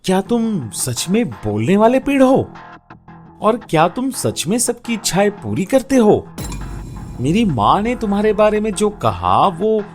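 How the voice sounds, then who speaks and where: A man's voice: high voice, very animated, measured pace, faint music behind. A man playing an eager, earnest young village son in a story, speaking emphatically. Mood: curious.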